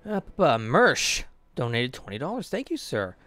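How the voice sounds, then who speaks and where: Joking man reading chat, lively voice. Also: mid-range voice, normal speed, soft background music. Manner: joking, reads chat messages aloud. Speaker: co-host. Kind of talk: comedic game commentary, reading donations.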